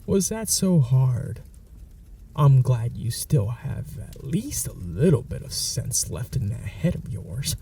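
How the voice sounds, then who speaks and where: A man's voice: medium pitch, sing-song, slow pace, dark tone, far from the mic, a little hiss or hum, exaggerated voice. A man voicing a menacing, possessive male vampire in an ASMR audio roleplay, speaking in a whispery, mocking, threatening way. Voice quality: whispery, gravelly.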